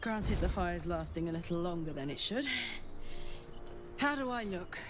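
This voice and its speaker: A woman's voice: on the low side, lively intonation, moderate pace, over music, lightly acted. An actress playing a brash, swaggering, defiant demon warrior woman in a video game. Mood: cocky, playful.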